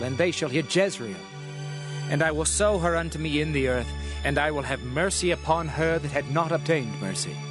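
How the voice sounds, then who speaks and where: A man narrating scripture in a dramatized style, with a solemn, declamatory delivery as a prophet. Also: fairly high pitch. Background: music.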